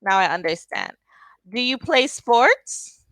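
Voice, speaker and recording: Woman, English tutor, video call, slow patient questions, dry close sound. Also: fairly high pitch, very animated, a bit bright, no echo. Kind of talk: casual tutoring conversation.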